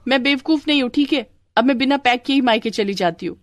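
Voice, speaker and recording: A woman's voice: on the high side, fairly quick, dry recording, voice pushed up, lightly acted. A woman voicing a childish, stubborn, melodramatic young married woman in a cartoon, with whiny complaints. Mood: indignant, stubborn.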